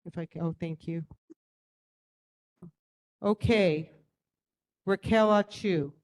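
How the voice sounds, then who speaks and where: A woman chairing a community board meeting, brisk, friendly and procedural. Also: fairly low pitch, a little mellow.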